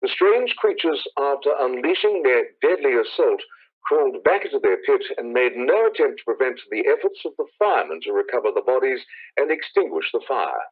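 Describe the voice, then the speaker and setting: A formal male radio announcer, performed by an actor in a 1930s-style drama. His delivery is composed yet urgent, with very lively intonation. The voice comes through thin, phone-like vintage radio sound. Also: medium pitch, brisk, husky. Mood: grave, urgent. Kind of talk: 1930s radio bulletin acting.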